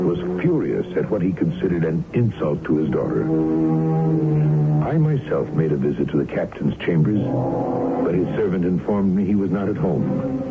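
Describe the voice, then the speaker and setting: An actor playing a grave, educated doctor who narrates a gothic radio mystery in a measured, ominous, dramatic way. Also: male voice, low voice. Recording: muffled old radio broadcast, loud music.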